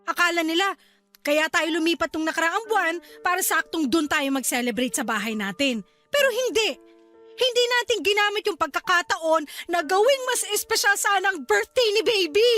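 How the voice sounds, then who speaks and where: A woman's voice: very high-pitched, expressive, normal speed, bright, forceful tone, soft background music, exaggerated voice. A young Filipino woman acting as an upset, reproachful young wife and mother in a Tagalog radio drama. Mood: hurt, reproachful. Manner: emotional, reproachful.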